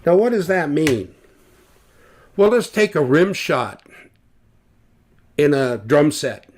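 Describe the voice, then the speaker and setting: Slow, deliberate older man explaining. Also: a little high, sing-song, darkish tone. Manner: slow, deliberate, with fillers and restarts. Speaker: loudspeaker designer explaining measurements. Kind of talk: technical explanation with drawings. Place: home living room, indoors.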